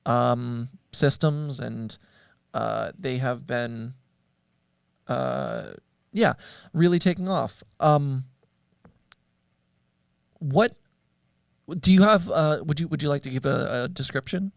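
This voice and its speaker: A man hosting a podcast in a casual, conversational way, with halting, hesitant phrasing. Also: mid-range voice, creaky, clear, resonant tone, dry recording, studio quality. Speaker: podcast host.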